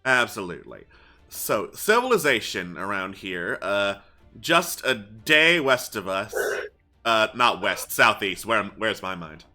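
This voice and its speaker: Man playing a bright-voiced, weary tower guard. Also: on the low side, big pitch swings, unhurried, soft background music, heavily acted. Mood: grumbling, helpful. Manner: casual, grumbling, muddles his directions. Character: weary, easygoing male tower guard and local guide.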